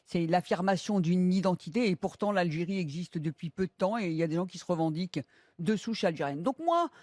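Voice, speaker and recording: A man's voice: high voice, animated, a little fast, darkish tone, dry recording. A man giving a spontaneous spoken opinion, hesitant and searching for his words.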